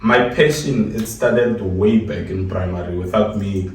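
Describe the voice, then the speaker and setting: A man's voice: on the low side, a little slow. A man with a South African accent, a podcast guest telling personal stories in a casual, jokey, self-deprecating way. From South Africa, grew up in Giyani. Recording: echoey room, distant mic, faint noise.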